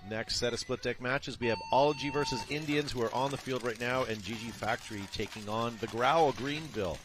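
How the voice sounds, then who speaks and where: A man's voice: medium pitch, brisk. A man commenting live on a paintball match from a booth, chatty and joking with his co-host.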